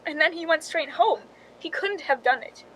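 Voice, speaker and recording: A woman's voice: high voice, lively intonation, normal speed, bright, forceful tone, a little hiss or hum, poor audio. An actress playing a film stand-in who narrates her own crime story in a suspense radio drama, speaking in a dramatic first person. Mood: protesting.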